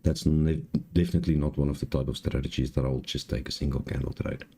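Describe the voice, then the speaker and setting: A man with an extremely deep voice teaches in a live tutorial. His tone is dark and relaxed, with a raspy, hoarse edge. He explains haltingly, going back over points as he goes. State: hoarse, clearing throat. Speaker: trading live-stream host and instructor.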